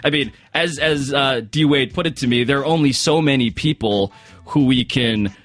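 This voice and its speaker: A man's voice: mid-range voice, moderate pace, faint music behind. A man in his thirties, a sports journalist guest telling stories in casual studio talk. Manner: animated, storytelling. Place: radio studio.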